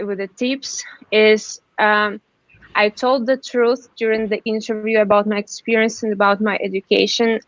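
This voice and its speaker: A woman presenting job-search tips on a webinar, earnest and halting, with many restarts and fillers. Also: mid-range voice, no room sound. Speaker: webinar panelist. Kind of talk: slide presentation. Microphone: computer mic on a video call. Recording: dull dry video call audio.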